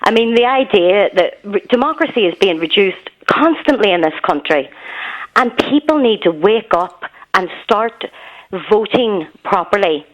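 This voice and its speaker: A woman in her thirties with a Belfast accent, calling a radio phone-in and giving her views earnestly, with many pauses and ums. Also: mid-range voice, expressive, low-quality recording. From Northern Ireland. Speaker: caller. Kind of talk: phone-in call.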